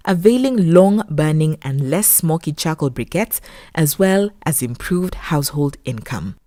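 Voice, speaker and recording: A woman reading scripted documentary narration in a measured, formal manner. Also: deep voice, expressive, warm tone.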